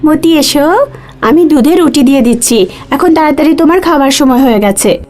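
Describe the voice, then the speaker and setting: Woman putting on a high-pitched, dramatic young village wife. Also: lively intonation, moderate pace, darkish tone, soft background music. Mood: affectionate. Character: anxious young village wife, brave and scheming. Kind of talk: animated ghost story dialogue.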